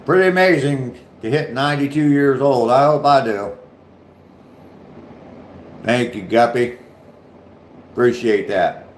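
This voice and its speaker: A man from Ohio with a mild southern Ohio accent and a deep voice, chatting casually on his livestream and answering viewers' comments. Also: a little slow, pauses often, reverberant, a little hiss or hum. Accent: southern Ohio, slight Appalachian touch. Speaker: livestream host.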